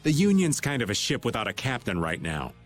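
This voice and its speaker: An actor playing a laid-back, folksy, teasing middle-aged rogue in a video game. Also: male voice, medium pitch, big pitch swings, brisk, faint music behind, close to an everyday voice. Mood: casual, frank.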